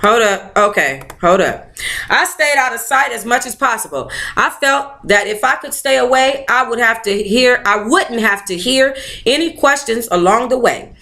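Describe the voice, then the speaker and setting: A woman hosting a book club, reading a memoir aloud in an animated, playful way with casual, sassy asides. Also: on the high side, moderate pace. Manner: animated, playful, colloquial commentary.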